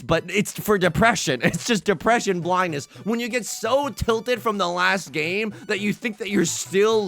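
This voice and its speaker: A man commentating his own tile game with a lively, swooping delivery, his pitch leaping around as he jokes at his own expense. He drifts between muttered, low-key asides and sudden excited bursts, rambling and restarting his sentences as he thinks aloud. Faint music sits behind him. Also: vocal fry. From United States. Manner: playful, self-mocking banter; swings from hushed asides to excited outbursts. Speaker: let's play host. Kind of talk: casual gameplay commentary.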